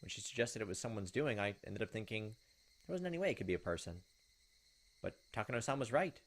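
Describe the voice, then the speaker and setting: A man's voice: lowish voice, lively intonation, fast talker. A man doing casual let's play commentary, moving between reading visual novel text aloud and giving his own reactions. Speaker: gaming video host.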